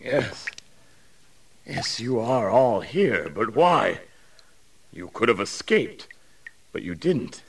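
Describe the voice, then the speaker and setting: A forceful, anguished Roman jailer in a dramatized story. His delivery is unhurried and theatrical, with big swings of pitch and an excitable temper. The voice sits a little back from the microphone. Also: vocal fry, breathy edge, distant mic, exaggerated voice. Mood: shaken, pleading. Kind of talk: audio drama acting.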